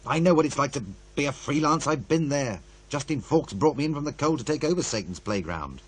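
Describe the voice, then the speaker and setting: A man's voice: a little high, lively intonation, fairly quick, slightly rough. An actor playing a wary, world-weary male producer in a radio play, blunt, sardonic and conversational. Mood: warning. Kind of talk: naturalistic radio play dialogue.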